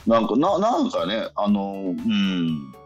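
A man hosting a casual radio talk show in a halting way, often repeating his short responses. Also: fairly low pitch, huge pitch swings, darkish tone, dull audio. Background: faint music.

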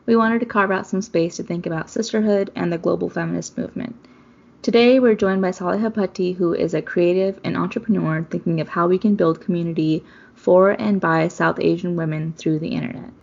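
A woman co-hosting a podcast opens the episode in an upbeat, welcoming tone. Her voice is fairly low with a gravelly fry. The sound is dull and lo-fi. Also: steady tone, brisk, a little mellow. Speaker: podcast co-host. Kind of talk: scripted episode introduction.

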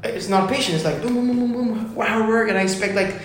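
A young man from Ecuador, a musician guest chatting casually on a podcast, excited and saying "dude" often. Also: high voice, a little fast, a little hiss or hum. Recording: very echoey room.

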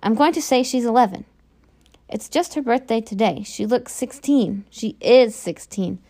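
A woman's voice: fairly high pitch, normal speed, mellow. A woman voicing a distraught, fiercely protective mother who pleads and sputters through tears. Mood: tearful, desperate.

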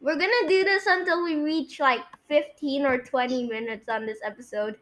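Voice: high-pitched, moderate pace, a bit bright. A young-sounding podcast host chatting casually through a guessing game.

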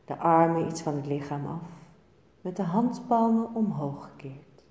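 A woman teaching yoga nidra, giving guided relaxation instructions in a calm, soothing, measured way with pauses between short phrases. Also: deep voice. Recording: echoey room with long reverb.

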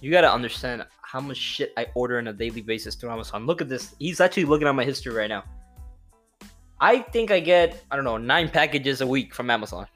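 Dominican-born male podcast co-host, animated and bright, brash and profane banter. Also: medium pitch, fast talker, soft background music. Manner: loud, profane, joking, laughs often. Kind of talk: casual podcast chat.

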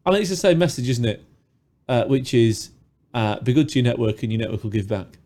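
A man co-hosting a podcast, chatty and jokey, a little hoarse from a sore throat. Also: mid-range voice, animated, a little fast, dark tone. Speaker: podcast co-host. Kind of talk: casual chat. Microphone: studio mic with pop filter.

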